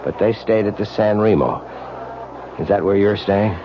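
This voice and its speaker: An actor playing a dogged, earnest police lieutenant in a 1970s radio drama, urgent and insistent. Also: male voice, on the low side, moderate pace, lightly acted. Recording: muffled old radio, loud vehicle noise behind.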